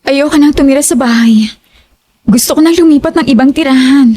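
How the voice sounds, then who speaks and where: A woman's voice: high-pitched, fairly quick, mellow, slight background noise, lightly acted. A woman playing a troubled, determined young daughter in an audio drama, sounding weary and resolute. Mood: fed up.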